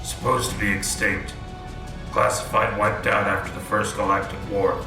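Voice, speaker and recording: A man performs a gruff, wary soldier from a sci-fi radio drama. His lowish, gravelly voice comes in terse, clipped phrases, set back from the mic. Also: flat delivery, normal speed. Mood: tense awe. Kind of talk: sci-fi audio drama acting. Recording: distant, echoing, music underneath.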